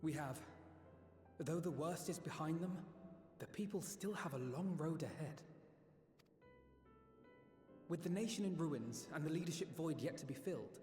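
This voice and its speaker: An idealistic young male diplomat in a fantasy game played by a man, fairly high in pitch. He is courteous, eloquent and measured, in an echoing space with clear music behind. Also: darkish tone, lightly acted. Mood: earnest, concerned. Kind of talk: video game voice acting. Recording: echoey, long reverb, music behind.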